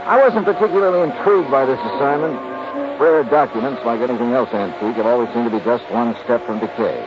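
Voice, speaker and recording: An American actor playing a wry, unflappable insurance investigator in a 1950s radio detective drama, clipped and casual. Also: male voice, mid-range voice, fast talker, music in the background, lightly acted. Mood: crisp narration. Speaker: lead actor and narrator. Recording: muffled, noisy 1950s radio recording.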